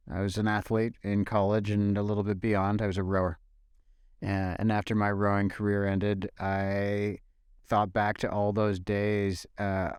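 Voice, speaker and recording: A man's voice: fairly low pitch, darkish tone, clean tone, no room sound, clean studio sound. A man from Ontario, Canada, in his forties, co-hosting a casual podcast with an upbeat, enthusiastic manner. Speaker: podcast co-host.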